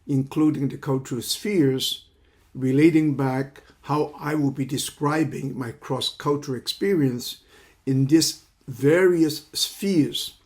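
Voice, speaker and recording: Halting older man. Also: a little high, darkish tone. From China. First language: Cantonese. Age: 70s. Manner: halting, repeats words while searching for phrasing. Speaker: counseling psychology teacher. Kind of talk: lecture introduction.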